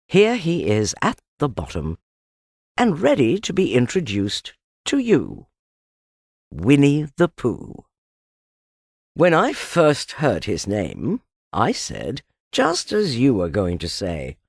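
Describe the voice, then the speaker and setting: A woman with a husky voice narrating a children's storybook in a theatrical, deliberate, expressive way. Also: extremely low pitch, no echo, clean studio sound. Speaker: audiobook narrator.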